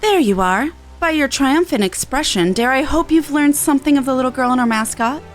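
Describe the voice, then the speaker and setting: A woman voicing an earnest, kindly festival envoy from a fantasy game, with formal, archaic, measured phrasing. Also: high-pitched, lively intonation, faint music behind. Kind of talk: reading game dialogue aloud.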